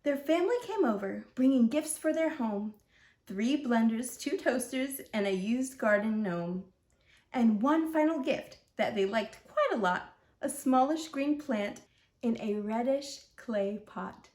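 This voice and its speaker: Slow-reading woman storyteller, echoey room. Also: a little high, lively intonation, darkish tone. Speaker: children's story host and reader. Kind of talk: read-aloud storytelling in rhyme.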